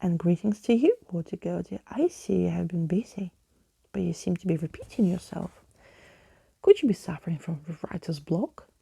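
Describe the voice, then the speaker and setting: A woman's voice: fairly low pitch, animated, moderate pace, gravelly, dark tone, breathy edge, no echo, exaggerated voice. A woman voicing a bold, theatrical skeleton man in a storybook, grandly spoken and playful. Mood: grandly mocking. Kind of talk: storybook character in ASMR reading.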